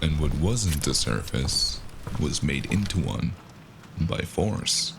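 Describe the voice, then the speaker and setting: Battered, world-weary noir narrator, male actor: low register, heavy fry, audio drama with clear background noise. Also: animated, takes it slowly, heavily acted.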